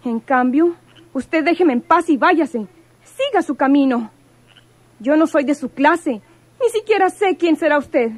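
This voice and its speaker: A woman's voice: high voice, animated, a little slow, no echo. A woman playing a modest, earnest young village woman, wary but firm, in a radio soap opera. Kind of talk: Spanish-language radio soap opera acting.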